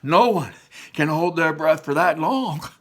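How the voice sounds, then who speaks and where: A man's voice: a little high, expressive, measured pace, voice pushed up. A man voicing a hearty young older brother in a storybook adventure, urgent and theatrical. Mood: exasperated.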